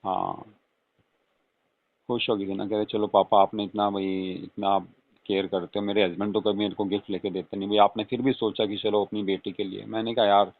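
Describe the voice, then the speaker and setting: A man's voice: lowish voice, creaky, no room sound. A man playing a flirtatious, coaxing father-in-law in a casual, intimate phone-call role-play, murmuring and adding questioning hums. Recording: tinny phone-line call recording.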